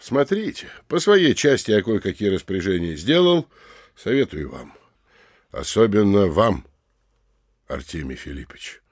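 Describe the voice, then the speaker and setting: An actor playing a pompous, middle-aged provincial mayor, deliberate and admonishing, in a theatrical audio drama. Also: male voice, on the low side, big pitch swings, breathy edge, dry recording, clean studio sound.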